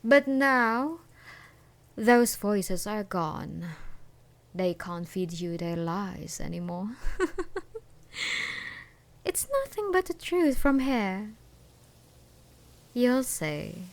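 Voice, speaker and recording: A woman's voice: medium pitch, lively intonation, very slow, creaky, pauses often, heavily acted. A woman acting an obsessed, menacing ex-girlfriend in an ASMR roleplay, with a mock-tender, taunting manner. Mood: taunting, gloating. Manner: mock-tender, taunting, breaking into whispers and laughs. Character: obsessed, menacing yandere ex-girlfriend stalking her lover.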